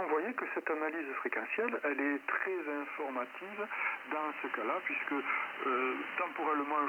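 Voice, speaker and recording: A man giving an improvised technical lecture as an amateur radio operator, explaining didactically in a halting, self-correcting way over a shortwave radio link. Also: a little high. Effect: shortwave single-sideband radio transmission. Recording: narrow-band, very noisy shortwave radio link. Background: loud radio static noise.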